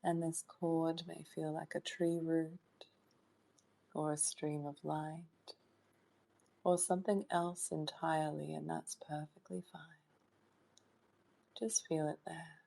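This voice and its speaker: A woman leading a guided meditation in a soft, soothing voice that sometimes drops toward a whisper, in short phrases with long pauses. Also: low voice, level tone, vocal fry. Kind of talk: guided meditation, hypnotic trance induction.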